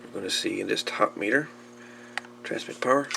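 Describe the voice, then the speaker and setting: Gravelly low-voiced man demonstrating radio repair. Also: a little fast, noisy background. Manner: informal, folksy, calls the radio "her". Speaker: radio repair hobbyist presenting a how-to video. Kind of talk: casual hands-on demonstration talk.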